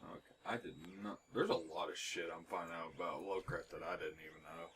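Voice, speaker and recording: Male gameplay streamer, low voice, casual and blunt, sweary offhand remarks, faint game ambience behind. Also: steady tone, fast, fairly bright tone, slight background noise.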